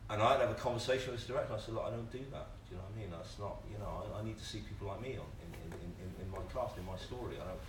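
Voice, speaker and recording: A man's voice: lowish voice, rapid-fire, hardly any pauses. A British man speaking off the cuff on a panel, emphatic and colloquial, often stammering over repeated words as he makes his case. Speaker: panellist, theatre writer and director. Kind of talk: impassioned unscripted panel talk. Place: indoor panel event at a theatre. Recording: roomy, distant mic, background noise.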